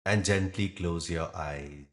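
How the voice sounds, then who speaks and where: Male meditation guide: deep register, very unhurried, gentle and soothing, measured pauses. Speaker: yoga nidra meditation guide.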